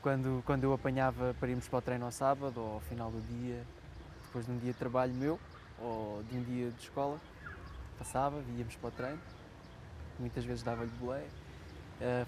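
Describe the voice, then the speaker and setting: A man's voice: mid-range voice, level tone, fairly quick, noisy background. A man reminiscing hesitantly in an interview, with frequent fillers. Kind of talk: interview recollection. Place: outdoors on a street.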